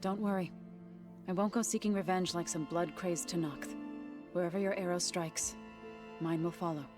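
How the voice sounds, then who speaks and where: An actress voicing a proud, loyal warrior woman in a video game, speaking in a firm, dry and measured way. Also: on the low side, steady tone, natural voice. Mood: steady. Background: game music.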